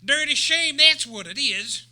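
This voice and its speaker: A folksy old west wagon driver, blunt, western drawl, high bright voice, radio western. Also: male voice, animated, measured pace, full character voice. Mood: sombre. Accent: American Western.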